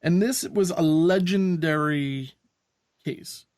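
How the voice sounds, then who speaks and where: A man's voice: a little high, takes it slowly, a little mellow, no room sound, studio quality. A man co-hosting a podcast, giving blunt opinions in a casual, easygoing way. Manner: blunt, opinionated, laughs easily. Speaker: podcast co-host. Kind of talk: casual chat.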